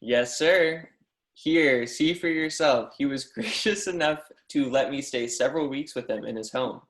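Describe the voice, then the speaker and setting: A man's voice: medium pitch, unhurried, a bit bright. A man playing an eager, deferential young mathematics professor in a stage play reading, earnest, effusive and formally polite. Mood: reverent, insistent. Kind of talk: readers theatre performance of a history play. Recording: echoey room.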